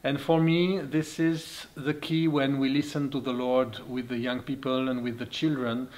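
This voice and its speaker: An earnest man from Switzerland in his forties, speaking as his in a steady, mid-pitched voice. He speaks as a youth ministry leader, sharing a testimony and encouragement. His phrasing is careful, with occasional turns. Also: moderate pace.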